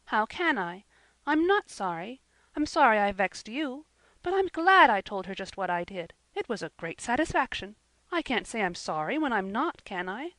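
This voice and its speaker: A woman's voice: fairly high pitch, sing-song, normal speed, a bit bright, no echo. A woman voicing a passionate, stubborn young orphan girl in an audiobook, with dramatic, pleading delivery. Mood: mournful, stubborn. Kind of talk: audiobook character voice.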